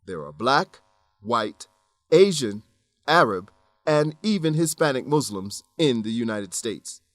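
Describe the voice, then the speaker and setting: A man reading scripted educational narration for an English lesson, enunciating clearly in an instructional manner. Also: mid-range voice, sing-song, slow, fairly bright tone, no room sound, soft background music. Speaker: narrator of an English-teaching radio program.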